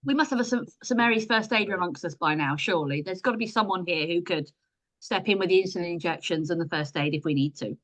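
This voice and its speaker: A woman's voice: fairly low pitch, fast talker, a bit bright, dry recording, poor audio. A woman bantering casually and jokily with a book club group on a video call. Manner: joking.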